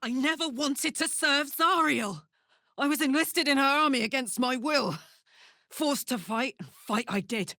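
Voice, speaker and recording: A woman's voice: sits high, a little slow, pushed and bright, no echo, studio quality, full character voice. An actress playing a brash, big-hearted tiefling barbarian woman in a video game, blunt and swaggering in manner. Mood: bitter, anguished. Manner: blunt, slangy, swearing, swaggering.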